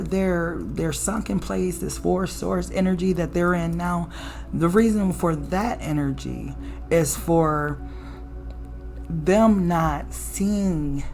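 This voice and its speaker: Raspy deep-voiced woman doing a tarot reading, kinda preachy, keeps saying okay, faint music behind. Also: darkish tone. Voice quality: low alto voice. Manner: preachy, often tags "okay?" and "right?". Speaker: tarot reader. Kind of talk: casual spiritual tarot reading.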